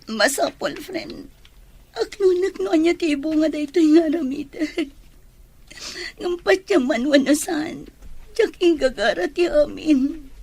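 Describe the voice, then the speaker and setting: A woman's voice: high voice, slow pace, darkish tone. A woman playing a guilt-stricken, tearful young woman in an emotional, pleading Ilocano audio drama. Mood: tearful confession.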